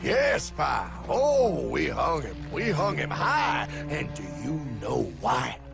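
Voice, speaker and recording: A man's voice: on the high side, huge pitch swings, takes it slowly, gravelly, full character voice. A man with an American accent voicing a brash, theatrical, streetwise cartoon mentor who preaches and talks cockily. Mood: brash, vengeful. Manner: dramatic, cocky, preachy, calls listener pal. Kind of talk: animated explainer cartoon acting. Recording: music bed behind the voice.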